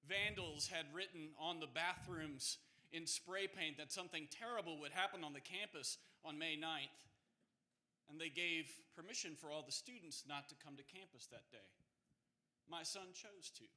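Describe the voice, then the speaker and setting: A man delivering an earnest, personal speech at a workshop, slow and deliberate. His voice is very bright and rather high, with a sharp edge to it. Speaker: workshop participant giving a speech.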